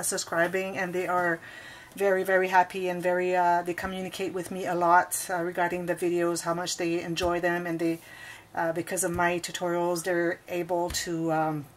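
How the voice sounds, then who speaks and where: A woman's voice: on the low side, a bit bright, a little hiss or hum. Chatty woman with a Quebec French accent. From Montreal, Quebec. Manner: chatty, many fillers and restarts. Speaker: scrapbooking tutorial host. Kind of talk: casual craft tutorial talk. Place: indoors at a table.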